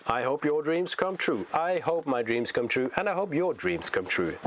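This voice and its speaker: A man's voice: a little high, very animated, moderate pace, noisy background. A young man from Norway with a Norwegian accent and Norwegian as first language, reading a novel aloud on a livestream and chatting, often restarting his words. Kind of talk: live reading and casual chat.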